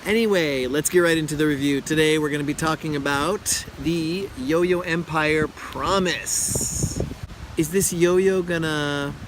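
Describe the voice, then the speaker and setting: Man, 30s, slightly high, hoarse and scratchy, easygoing reviewer filmed outside in wind with faint traffic. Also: measured pace. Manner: relaxed, chatty, frequent uh fillers. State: hoarse from illness. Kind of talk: casual product review. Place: outdoor park, windy. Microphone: camera close-up.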